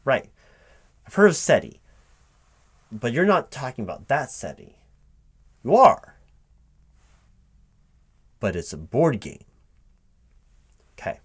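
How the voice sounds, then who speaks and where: A man's voice: mid-range voice, huge pitch swings, takes it slowly, pauses often, husky, pitched above the performer's own voice, full character voice. A man playing a flustered but polite recruiting manager in a one-sided ASMR interview roleplay, hesitating, repeating words and asking puzzled questions. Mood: bemused, questioning. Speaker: job interviewer. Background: computer keyboard typing.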